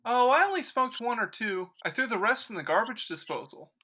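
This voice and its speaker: A man's voice: very high voice, sing-song, a little fast, sharp tone, breathy edge, full character voice. A man voicing a chipper, guileless young son in a 1940s radio sitcom parody, nonchalant and eager.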